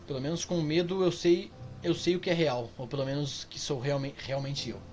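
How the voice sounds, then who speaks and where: A man voicing a quiet masked young man. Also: fairly high pitch, steady tone, normal speed, faint music behind, natural voice. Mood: weary.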